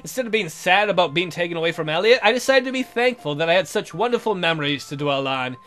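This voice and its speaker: Male game host, very high voice, theatrical reader of romance narration, music underneath. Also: expressive, fast, sharp tone. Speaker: gaming video host. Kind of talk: reading visual novel narration aloud.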